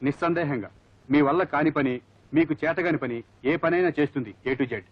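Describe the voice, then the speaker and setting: A man's voice: fairly high pitch, normal speed, dry recording, lightly acted. A man playing a boastful, emphatic odd-job service man in a film comedy. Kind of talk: Telugu film comedy acting. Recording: dull film soundtrack.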